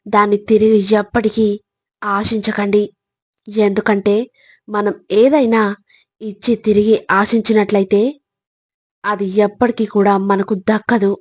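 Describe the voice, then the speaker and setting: Husky-voiced woman, even motivational narration. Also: medium pitch, measured pace, pauses often, no room sound. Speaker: motivational speaker.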